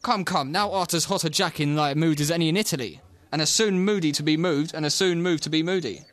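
A young man student playing a mocking, hot-headed gentleman in a Shakespeare audio play, bantering and taunting. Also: on the high side, brisk, dry recording, natural voice. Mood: mocking, playful. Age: young adult.

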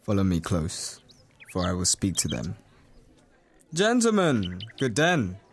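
A man's voice: deep voice, huge pitch swings, slow, heavily acted. A young man student playing a menacing, quarrelsome swordsman in a Shakespeare audio play, confrontational in manner. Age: young adult.

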